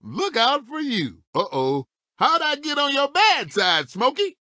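A folksy old race car, a cocky veteran of the track in an animated video game. His voice is high and forceful, swinging wildly up and down through short, exclamatory lines. The sound is dry and close. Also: unhurried, no room sound, heavily acted. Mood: feisty.

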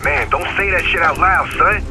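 A wary young American gangster in a crime game. The voice is very sharp and high, cautioning in urgent, exasperated slang with light music behind. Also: male voice, moderate pace.

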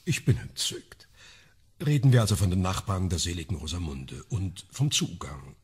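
An actor playing a sardonic, erudite man who leads an investigation in a radio crime drama, with a husky voice and a wry, ornate, deliberate way of talking. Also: low voice, big pitch swings, exaggerated voice.

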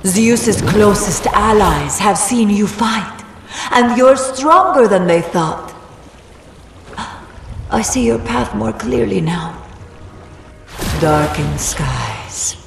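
Echoing theatrical oracle. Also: female voice, medium pitch, gravelly, exaggerated voice. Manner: grand prophetic delivery dropping into wry asides. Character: theatrical mystical female oracle with wry humor. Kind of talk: video game character dialogue. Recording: echoing long reverb, game ambience noise.

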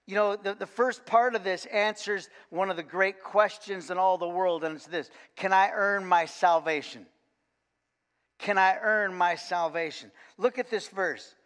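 An older man preaching a sermon in a folksy, animated way, full of repeated words and "okay?" asides. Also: sits high, a little slow, smooth. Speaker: pastor. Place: large church sanctuary, indoors. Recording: long reverb of a church hall.

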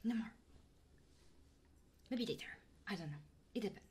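A woman streaming ASMR, chatting in whispers and soft murmurs close to the microphone. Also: mid-range voice, expressive, moderate pace, a little hiss or hum.

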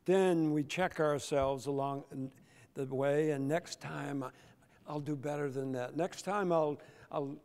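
Older pastor preaching slowly in a reverberant church. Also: male voice, fairly high pitch, a little mellow. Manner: slow, emphatic preaching with deliberate pauses. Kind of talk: sermon. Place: large church sanctuary, at the pulpit. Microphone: pulpit microphone.

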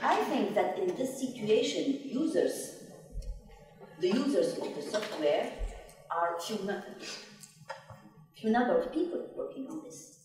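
A woman's voice: mid-range voice, sing-song, unhurried, pauses often. A middle-aged woman replying as a panelist in a conference discussion. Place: hotel conference room. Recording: very echoey hall, distant, noisy.

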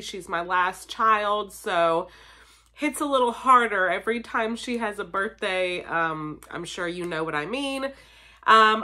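A woman's voice: a little high, pushed and bright. A woman in her thirties chatting casually to camera as a vlogger, sounding congested from a cold, upbeat and full of ums and likes. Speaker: vlogger, stay-at-home mom. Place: home basement, indoors. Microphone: camera in front, seated framing.

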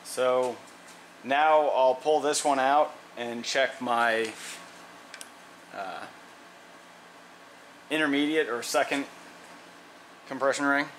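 A man's voice: medium pitch, slow, pauses often, fairly bright tone, a little hiss or hum, poor audio. Plainspoken man explaining engine work. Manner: plainspoken, thinks aloud with fillers and restarts. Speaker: mechanic demonstrating an engine rebuild. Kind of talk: casual how-to narration while working. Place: workshop garage.

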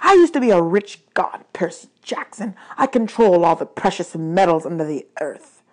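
A woman's voice: fairly low pitch, sing-song. A woman voicing an angry, aggrieved male god of the underworld in a storybook reading, imperious and emphatic. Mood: exasperated ranting. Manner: imperious, ranting, emphatic drawn-out words.

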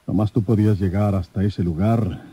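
An actor with a Mexican accent playing a rough, shrewd, persuasive rural detective in a radio drama, with a husky voice. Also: male voice, lowish voice, fairly even intonation, normal speed, dark tone. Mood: firm, persuasive.

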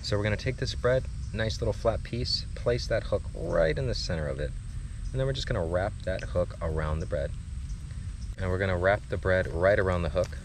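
A man's voice: low-pitched, a little fast. An American man in his thirties presenting a casual outdoor fishing how-to, recorded on an action camera by a river. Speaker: angler presenting a fishing video. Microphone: action camera a short distance away. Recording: noisy outdoor camera audio.

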